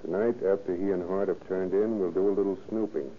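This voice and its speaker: An actor playing a scheming, suspicious crook in a 1940s-style radio crime drama. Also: male voice, low voice, fairly even intonation, quick pace, lightly acted. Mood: suspicious, determined. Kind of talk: 1940s radio villain acting. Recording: tinny, noisy old radio broadcast.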